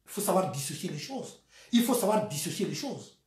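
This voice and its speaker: Man with a Congolese French accent, fiery activist monologue, very lively pitch, echoey room. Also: brisk. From Republic of the Congo. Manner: swings between shouting and quiet, bitter asides, laughs often. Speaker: activist vlogger. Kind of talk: impassioned political monologue.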